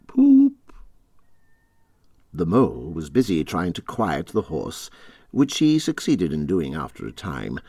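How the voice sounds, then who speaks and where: A man narrating a classic storybook as an audiobook reader, dramatically and with deliberate, expressive phrasing. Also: low voice, vocal fry, slightly rough, dry recording, clean studio sound.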